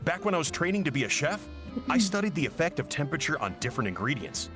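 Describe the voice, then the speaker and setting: Eager game chef, confident, lightly high voice, lively intonation, music behind. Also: male voice, fast. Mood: confident, keen. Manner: confident explaining. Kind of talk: video game voice acting.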